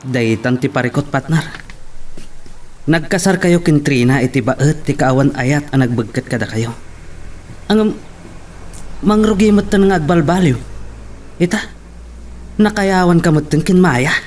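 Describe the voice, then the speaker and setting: A man plays a questioning, advising friend in an audio drama. He has an Ilocano Filipino accent and a voice on the higher side, with faint ambient noise in the recording. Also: animated, a little slow, long pauses, a little mellow. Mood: concerned, probing. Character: concerned, questioning male friend advising his buddy.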